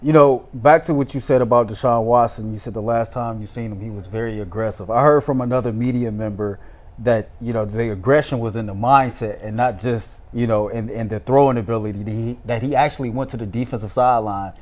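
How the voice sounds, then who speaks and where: A man's voice: medium pitch, brisk, darkish tone. A man hosting a sports show, putting questions to a guest in a casual way.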